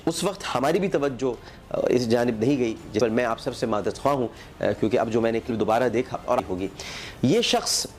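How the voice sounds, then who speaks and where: A male talk show host with a Pakistani accent speaks in a polemical monologue. His pitch sits in the middle for a man, and he builds his case emphatically with pointed, accusatory rhetoric. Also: a little mellow.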